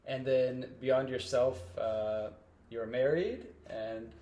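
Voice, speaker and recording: A man who is a pastor hosting a relaxed interview, easing into his questions with frequent uhs and ums. His voice sits at an ordinary middle pitch. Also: takes it slowly, slight background noise. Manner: relaxed, filler-heavy, uh and um. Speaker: host, pastor.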